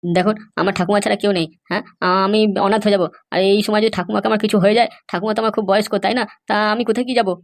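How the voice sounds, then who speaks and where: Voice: medium pitch, fairly even intonation, vocal fry, lightly acted. A performer voicing an anxious young woman in a cartoon comedy, pleading and insistent. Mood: insistent, worried. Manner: pleading, insistent, halting starts. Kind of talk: amateur cartoon comedy dialogue.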